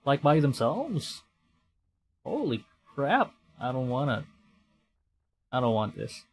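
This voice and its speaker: A man's voice: mid-range voice, big pitch swings, slow pace, soft background music. A man from the Philippines streaming a horror game, reacting with nervous swearing and stammered restarts. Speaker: VTuber streamer. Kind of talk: casual game-stream commentary.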